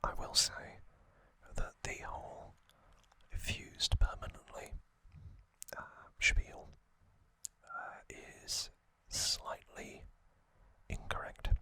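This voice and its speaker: A man hosting ASMR, whispering trivia narration in a breathy, unvoiced voice with soothing, deliberate short phrases. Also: sharp tone, no room sound, low-quality recording. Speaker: ASMR host. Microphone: close microphone.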